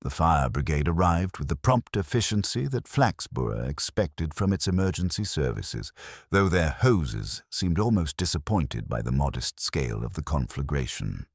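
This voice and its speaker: A man narrating a scripted mystery story in a measured, literary way with dry irony. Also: extremely low pitch, very animated, creaky, breathy edge, no echo. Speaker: audiobook narrator.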